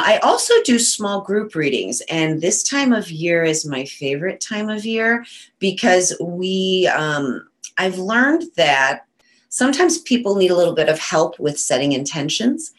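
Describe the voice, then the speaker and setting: A woman from Western New York, a psychic medium, chatting casually on a talk show with a nasal voice from a cold and frequent ums. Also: lowish voice. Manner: chatty, loose, many ums and uhs.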